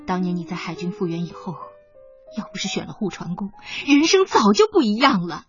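A woman's voice: medium pitch, big pitch swings, a little fast, highs cut off, faint music behind, close to an everyday voice. An actress playing a wealthy, ambitious middle-aged mother in a radio drama, earnest and insistent as she pleads and persuades. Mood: insistent, reproachful. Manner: earnest, insistent, pointed rhetorical questions. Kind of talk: Chinese radio drama acting.

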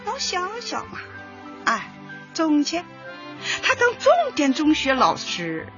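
High, slow, theatrical old granny. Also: female voice, huge pitch swings, bright, forceful tone, full character voice. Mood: theatrical, lamenting. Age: older. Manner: drawn-out, theatrical sighing and interjections. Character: chatty, knowing old granny neighbour, playful amateur analyst. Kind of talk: light comedy radio drama acting. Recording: dull lo-fi audio, faint music.

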